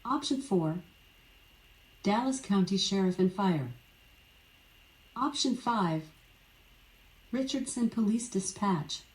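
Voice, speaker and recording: A synthetic woman's voice, evenly measured, reading scripted voice-assistant prompts through a smart speaker. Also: medium pitch, expressive. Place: indoor room, from a speaker on the floor. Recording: smart speaker playing in a roomy space.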